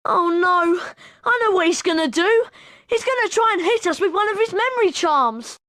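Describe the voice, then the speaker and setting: A very high-voiced excitable schoolboy. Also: female voice, moderate pace, dry recording, studio quality. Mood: alarmed. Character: excitable, anxious young schoolboy wizard. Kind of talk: video game voice acting.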